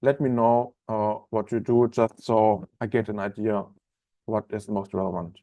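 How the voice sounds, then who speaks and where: A man in his thirties with a German accent, chatting as a trainer in a live online software webinar, with many fillers and restarts. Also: fairly low pitch, flat delivery, moderate pace, vocal fry, dry recording. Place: office room, indoors.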